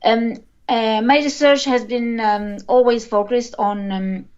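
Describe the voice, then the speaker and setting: Guest: woman, slightly high voice, halting academic explanations, dull lo-fi sound. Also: fairly even intonation, darkish tone, clean tone. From Tunisia. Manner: hesitant, halting, with many pauses to search for words. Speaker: guest, linguist. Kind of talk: podcast interview. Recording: dull lo-fi recording.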